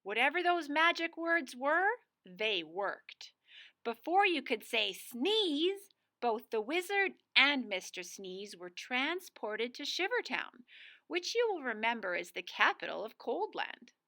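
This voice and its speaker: A woman's voice: sits high, big pitch swings, slow, sharp tone. A woman reading a storybook aloud expressively for young children. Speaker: storybook reader, school staff.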